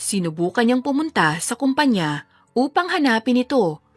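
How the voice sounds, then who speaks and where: A woman's voice: on the high side, very animated, normal speed, no room sound, faint music behind. A woman reading a scripted romance story as a dramatic narrator.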